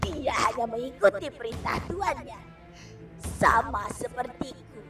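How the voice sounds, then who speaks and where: A woman's voice: on the high side, expressive, a little slow, far from the mic, music in the background. A woman voicing a young female jinn servant in a comic dub, dramatic and defiant. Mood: resigned.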